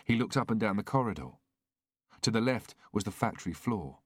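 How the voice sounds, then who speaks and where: A man's voice: on the low side, expressive, a little fast, slightly rough, no room sound, studio quality. A man reading a scripted thriller as an audiobook narrator.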